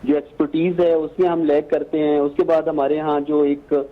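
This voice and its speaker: A thin, phone-like connection carries a Pakistani man's somewhat high voice. He explains foreign policy points methodically as a remote guest, mixing English terms into his analysis. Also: level tone, moderate pace. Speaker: remote guest academic commentator.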